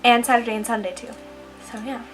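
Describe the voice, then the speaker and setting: A woman's voice: a little high, fairly even intonation, fast talker, music in the background. A teenage girl vlogging casually from home, chatty, with lots of ums and likes. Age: teens. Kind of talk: casual vlog diary.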